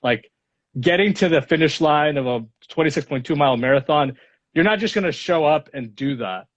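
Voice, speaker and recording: A man's voice: on the high side, lively intonation, moderate pace. A man in his thirties from Los Angeles, whose first language is Spanish, answers interview questions in a reflective way with many fillers. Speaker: guest speaker, lawyer. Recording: dull lo-fi audio.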